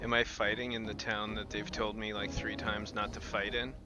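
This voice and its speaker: A man's voice: lowish voice, flat delivery, moderate pace. A man giving casual live commentary as a game streamer. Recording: game music behind voice. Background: game music.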